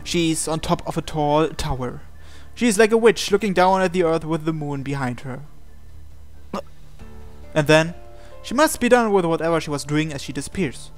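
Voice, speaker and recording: A man's voice: on the high side, animated, moderate pace, faint music behind. A man hosting a game playthrough, reading visual novel narration aloud expressively with casual asides.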